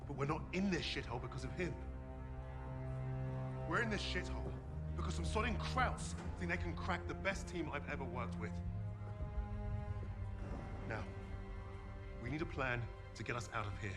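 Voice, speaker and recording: An actor playing a blunt, loyal wartime team leader who speaks emphatically in a game. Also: male voice, a little high, expressive, moderate pace, long pauses, natural voice. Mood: firm frustration. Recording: loud music under dialogue.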